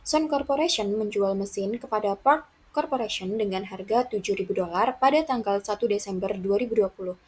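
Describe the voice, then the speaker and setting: Slightly husky young woman presenting. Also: mid-range voice, brisk, a little mellow. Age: young adult. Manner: formal, methodical read-out delivery. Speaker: university student presenter. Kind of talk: reading out a worked accounting example.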